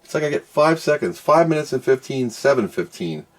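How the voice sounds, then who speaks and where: A man's voice: medium pitch, sing-song, a little fast. A man doing casual hands-on product commentary, muttering to himself as he works and reading out screens. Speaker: product reviewer doing an unboxing and test.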